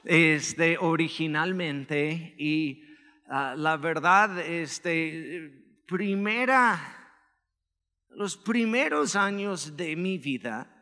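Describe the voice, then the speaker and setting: A man with an American accent, a pastor giving a relaxed, joking sermon with personal testimony and many filler words. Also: a little high, very slow. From United States. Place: church hall. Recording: echoey church hall.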